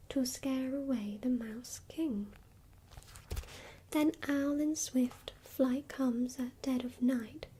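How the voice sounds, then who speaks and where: A woman whispering the lines of a strange old male clockmaker godfather in a grating, monotonous manner. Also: sits high, breathy edge.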